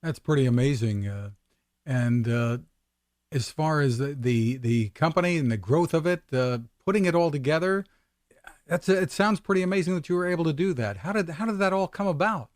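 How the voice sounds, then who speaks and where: A man's voice: a little high, expressive, moderate pace, no echo, studio quality. A man hosting an interview, asking plain, encouraging questions. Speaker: interviewer, host.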